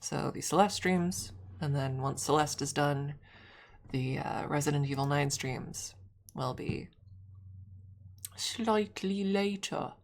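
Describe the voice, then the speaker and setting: A low, gravelly young woman chats casually with her stream, her voice a little snuffly. She rambles and restarts her sentences as she goes, sometimes dropping to a soft breathy hush. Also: slow.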